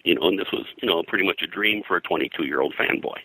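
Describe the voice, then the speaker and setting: An older American man reminiscing as an interview guest, in a halting, conversational way full of verbal filler. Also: deep voice, pushed and bright, breathy edge, dry recording, rough recording. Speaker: interview guest, veteran comics colorist.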